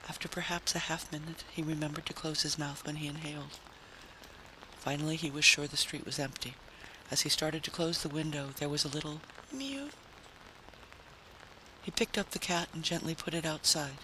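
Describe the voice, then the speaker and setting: A man's voice: a little high, normal speed, sharp tone, a little hiss or hum, low-quality recording. A man reading fiction aloud as a dramatic, scripted narration. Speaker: audiobook narrator.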